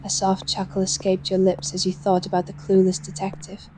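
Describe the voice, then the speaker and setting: Woman narrating a roleplay story, soft dark tone, pretty flat, slight background noise. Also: mid-range voice, brisk, poor audio. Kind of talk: scripted second-person story narration.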